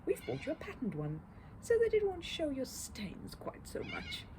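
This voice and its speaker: A woman's voice: very high-pitched, wildly swooping pitch, normal speed, background noise, voice pushed up, heavily acted. A woman voicing a kindly, slightly nervous lady of the house in a storybook. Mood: kindly explaining. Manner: gentle, nervous.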